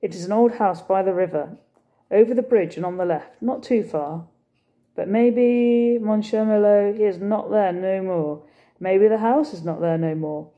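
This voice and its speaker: A woman's voice: medium pitch, unhurried, lo-fi sound, natural voice. A woman doing a mournful French cafe owner. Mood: resigned. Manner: broken English, mournful, emphatic. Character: middle-aged male French cafe owner, weary, sorrowful. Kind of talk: storybook character voice.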